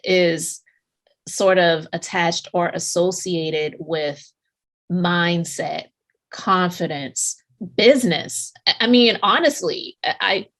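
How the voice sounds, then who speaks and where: A woman's voice: fairly low pitch, slow, fairly bright tone. A woman hosting a business podcast interview, asking questions and praising her guest effusively. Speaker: podcast host.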